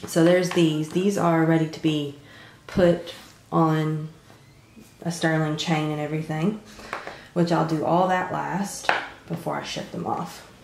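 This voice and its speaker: A congested woman in her thirties with a low, gravelly voice talks through her craft pieces in a loose, rambling way, stopping often on "um". She sounds stuffed up from a cold. The room is echoey, with a faint hiss underneath. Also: unhurried. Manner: relaxed, rambling, many "um"s. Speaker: resin craft vlogger. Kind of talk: casual show-and-tell narration. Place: home craft table.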